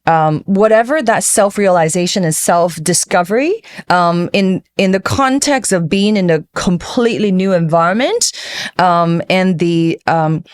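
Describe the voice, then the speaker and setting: A middle-aged woman from Taiwan who grew up speaking talks as an interview guest in a fairly low voice. She reflects casually on her own life, leaning on emphasis and small checking tags. The sound is dry, with very little room around it. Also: a little slow, smooth, no room sound. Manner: informal, emphatic, frequent checking tags like "you know" and "right". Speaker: interview guest, finance executive.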